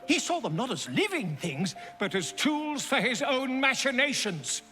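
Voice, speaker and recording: An actor playing a flamboyant, self-important bard in a video game, speaking in a florid, theatrical and chummy manner. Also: male voice, very high voice, big pitch swings, unhurried, pushed and bright, faint music behind, heavily acted. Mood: ominous, dramatic. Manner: theatrical, florid, grandiloquent storytelling. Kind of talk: video game character acting.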